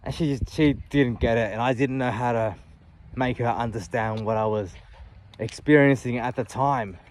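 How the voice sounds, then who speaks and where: A man in his late thirties talking candidly about his life in a reflective, halting way, often restarting and adding "you know". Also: medium pitch, a little mellow. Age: 30s. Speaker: vlogger. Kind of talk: personal reflective monologue.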